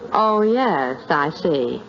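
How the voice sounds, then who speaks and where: Lively, cheeky shop girl. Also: low voice, very slow, exaggerated voice. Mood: coy. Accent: American. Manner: wry, teasing. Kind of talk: 1950s American radio sitcom acting. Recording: dull, noisy vintage radio recording.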